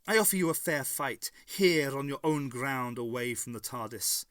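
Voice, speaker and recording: A man voicing a calm, commanding time traveller. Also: medium pitch, normal speed, no echo, studio quality, lightly acted. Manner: calm, positive, persuasive.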